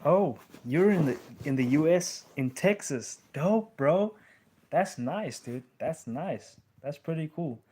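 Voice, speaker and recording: A man's voice: fairly high pitch, expressive, takes it slowly, dark tone, husky. A young man hosting a casual live stream, answering viewer questions in loose slang. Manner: laid-back slang, calls listeners bro.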